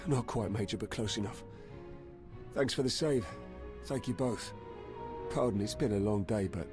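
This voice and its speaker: An actor playing a weary, modest scout sergeant in a video game, humble and respectful, with understated, informal delivery. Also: male voice, fairly low pitch, normal speed, close to an everyday voice. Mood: weary, grateful. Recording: loud game music behind. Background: loud music.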